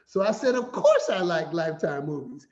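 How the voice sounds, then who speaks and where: A man giving a finance talk as an animated, playful storyteller who acts out quotes. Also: a little high, moderate pace. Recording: echoey room, slightly dull sound.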